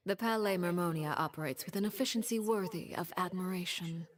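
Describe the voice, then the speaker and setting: An actress voicing a poised, ruthless woman who leads a strict house, speaking calmly with quiet menace in a game scene. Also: fairly low pitch, no room sound, lightly acted. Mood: formal. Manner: calm, measured, quietly menacing. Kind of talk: video game voice acting.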